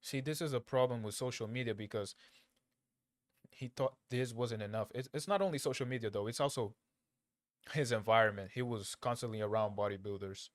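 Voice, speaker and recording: A man from Angola who grew up in Portugal, a native Portuguese speaker, giving casual, unscripted commentary in a blunt, slangy, halting way, often repeating his phrases. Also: medium pitch, dry recording, clean studio sound. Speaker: reaction video creator.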